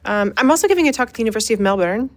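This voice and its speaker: A woman's voice: on the high side, animated, racing. An American woman chatting casually as a guest in an interview. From United States. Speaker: interview guest, science educator. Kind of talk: casual chat.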